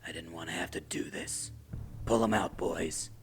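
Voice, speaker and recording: A man's voice: sits low, normal speed, creaky. A man playing a smug, menacing treasure-hunting villain in a radio drama, taunting and gloating in theatrical fashion. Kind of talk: adventure radio drama acting. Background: music.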